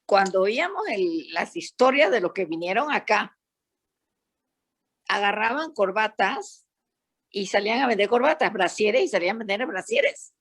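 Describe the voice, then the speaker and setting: Animated older Panamanian woman. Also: medium pitch, normal speed. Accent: Panamanian Spanish. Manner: animated, emphatic storyteller. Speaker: psychologist guest. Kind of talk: panel discussion.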